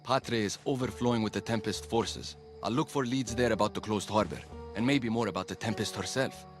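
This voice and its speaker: An actor as an earnest young Greek idealist. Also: male voice, on the low side, a little fast, music in the background, close to an everyday voice. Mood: calm, determined. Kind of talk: dramatic video game acting.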